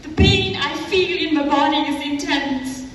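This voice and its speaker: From far across a noisy hall comes a very high female voice. A woman acts an anguished young diarist in a solemn stage reading, drawing out her words with grave weight. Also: unhurried, fairly bright tone. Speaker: student teacher performing in a readers' theatre. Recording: very echoey hall, distant mic, noisy.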